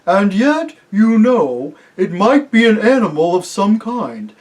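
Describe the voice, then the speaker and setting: A storybook reader voicing a gentle, thoughtful she-elephant who talks mildly and unhurriedly. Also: medium pitch, big pitch swings, pitched above the performer's own voice. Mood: musing. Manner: mild, musing, unhurried.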